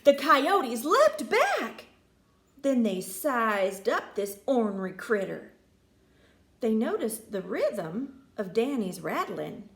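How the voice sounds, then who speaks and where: A woman narrating a children's storybook in an expressive, theatrical way. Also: a little high, slow pace, echoey room. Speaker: storybook reader.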